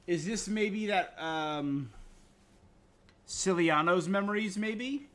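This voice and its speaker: Higher-voiced man commentating on a game. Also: takes it slowly, fairly bright tone. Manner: musing in tentative questions and fragments. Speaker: live game streamer. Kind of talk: casual game reaction commentary.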